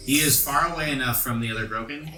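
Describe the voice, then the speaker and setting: Bright-voiced man as game master, roomy echo. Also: mid-range voice, fast. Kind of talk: narrating a tabletop game. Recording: roomy echo, faint music underneath. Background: faint background music.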